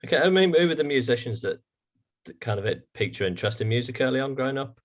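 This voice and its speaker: A male interviewer with a medium-pitched voice who speaks very quickly. He puts his questions casually and responds in an informal, affirming way. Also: animated.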